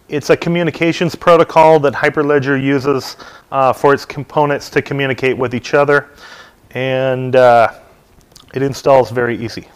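A middle-aged man teaching a hands-on software install at a lectern in a dim meeting room, talking casually with many ums and uhs. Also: mid-range voice, clear, resonant tone. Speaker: technical trainer. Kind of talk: hands-on tech tutorial talk.

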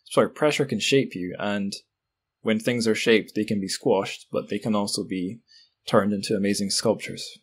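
A man's voice: on the low side, moderate pace, slightly rough. A man hosting a podcast in a casual, conversational way. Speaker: podcast host.

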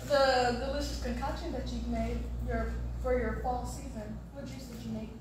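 Higher-voiced young American woman, echoey room. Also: steady tone, normal speed. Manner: slangy, explanatory. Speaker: guest taste tester. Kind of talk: casual chat. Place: home kitchen, indoors. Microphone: phone camera a few feet away. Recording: echoey, distant, clearly noisy kitchen recording.

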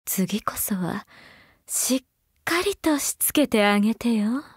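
High-voiced, doting, seductive mother figure. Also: lively intonation, slow, dry recording, studio quality, heavily acted. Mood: teasing. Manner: coaxing, breathy-whispering at times, archaic feminine phrasing. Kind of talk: Japanese mobile game character voice lines.

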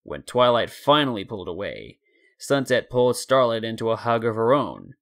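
A man's voice: mid-range voice, expressive, normal speed, creaky, fairly bright tone. A man in his twenties reading a scripted story as a dramatic narrator. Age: 20s. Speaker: story narrator and channel host.

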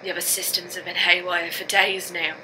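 A woman's voice: lowish voice, flat delivery. A woman playing a frightened, grieving astronaut engineer in a science fiction audio drama, short of breath and speaking in broken sentences. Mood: weary, shaken. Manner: halting, broken sentences with repeated words. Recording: faint hum and noise behind.

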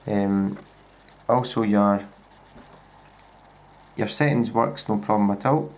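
A man with a Scottish accent gives a casual, hands-on demo of a laptop, talking in a relaxed way with lots of fillers and restarts, recorded on a handheld camera indoors. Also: fairly low pitch, slow. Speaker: tech reviewer. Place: indoors at a wooden table. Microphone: handheld camera filming a laptop screen.

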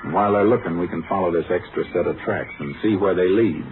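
A man playing a steady, terse Texas Ranger investigator in a 1950s radio crime drama. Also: low voice, fast, very noisy, natural voice. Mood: matter-of-fact. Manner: terse, matter-of-fact. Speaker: lead actor and narrator. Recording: muffled 1950s radio recording with music.

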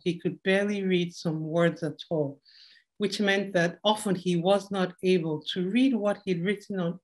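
Young woman, story reader, expressive verse delivery, medium pitch, recorded at home. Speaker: poem reader. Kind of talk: expressive rhyming story reading. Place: home room indoors.

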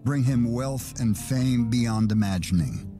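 A man's voice: medium pitch, a little slow. A man reading scripted documentary narration. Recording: music under the voice. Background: acoustic guitar music.

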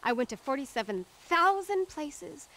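High-voiced, preachy woman cult zealot. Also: very animated, normal speed, bright, forceful tone, exaggerated voice. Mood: grandiose. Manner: dramatic, preachy, condescending. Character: zealous female cult recruiter, preachy, grandiose, spacey. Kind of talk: video game cutscene acting. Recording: music under the voice.

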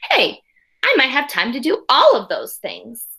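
A woman voicing an eager, imaginative eight-year-old schoolboy from a storybook, in animated, sing-song rhyme. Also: high voice, measured pace, breathy edge, heavily acted. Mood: earnest, hopeful.

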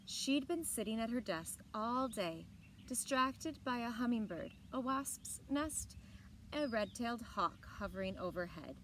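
A woman's voice: on the high side, measured pace, slight background noise. Woman reading picture books aloud outdoors. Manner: expressive, clearly paced reading to young listeners. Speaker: library story time reader. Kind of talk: read-aloud storytelling for children with asides. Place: outdoors on a lawn beside evergreen trees. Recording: outdoor ambience with birdsong. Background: birds and outdoor ambience.

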